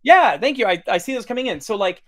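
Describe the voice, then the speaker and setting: A man's voice: high-pitched, lively intonation, fast talker, fairly bright tone. A man hosting a casual livestream demo over a video call, chatty and full of fillers and restarts. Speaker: host demoing software.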